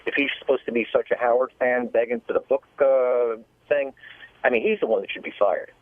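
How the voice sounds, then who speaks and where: A man phoning a radio show over a narrow line, giving his opinion in measured casual talk. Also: mid-range voice, no echo. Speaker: radio caller. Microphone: telephone. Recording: narrow, tinny phone line.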